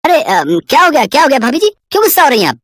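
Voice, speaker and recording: A woman voicing a lecherous, sly male tailor in a cartoon story, smooth-talking, boastful and wheedling. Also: sits high, sing-song, rapid-fire, no room sound, studio quality. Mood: defensive, wheedling. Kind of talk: exaggerated cartoon story voice acting.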